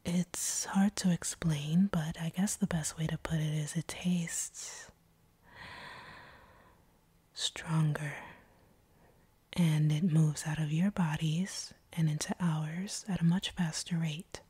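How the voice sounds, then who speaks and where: A seductive female vampire, played by a woman, toys with captive prey in a low, breathy voice. The delivery is slow and dark, teasing with a sadistic edge. It is an intimate roleplay, murmured right up at the microphone. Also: steady tone, no room sound, heavily acted. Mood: seductive, hungry. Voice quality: breathy whisper. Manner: slow, teasing, predatory purr. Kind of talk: intimate whispered audio roleplay. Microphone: close microphone, dry.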